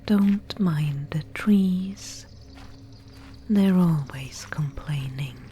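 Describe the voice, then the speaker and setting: A woman voicing a mysterious, solemn Spindlemaid guide in a whispered ASMR dark-fantasy roleplay, with breathy, incantatory, archaic speech. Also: sits low, a little hiss or hum. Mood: calm, ominous. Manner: slow, incantatory, archaic phrasing.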